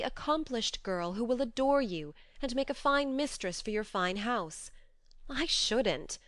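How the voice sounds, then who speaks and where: A woman's voice: fairly high pitch, fairly bright tone, no echo, studio quality, pitched above the performer's own voice. A woman voicing a frank, resolute but tender young woman in an audiobook, earnest, imploring and firm. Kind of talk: audiobook character voice.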